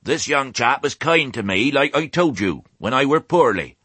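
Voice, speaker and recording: Storybook role: male reader as hoarse, croaky old ewe, rural West Country English, blunt and bossy with a kind core, lively pitch. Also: measured pace, no room sound, exaggerated voice. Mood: bossy, rallying. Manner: rustic dialect, sharp and commanding. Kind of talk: audiobook character voice.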